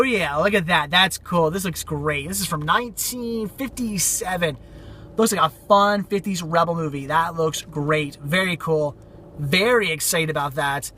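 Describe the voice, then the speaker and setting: A man chats casually about his finds, rambling and upbeat. His voice sits high and sounds bright. He mentions he is losing it to a cold. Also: a little hiss or hum. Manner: rambling, enthusiastic, repeats "very cool". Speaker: vlogger showing thrift finds. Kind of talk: casual vlog chat.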